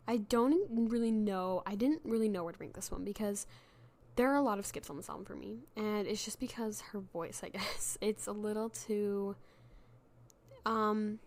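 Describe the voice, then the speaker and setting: Chatty young woman with a sick, worn voice. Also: medium pitch. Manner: chatty, self-deprecating, many fillers and restarts. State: sick, losing her voice. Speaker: podcast host. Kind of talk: casual solo podcast chat.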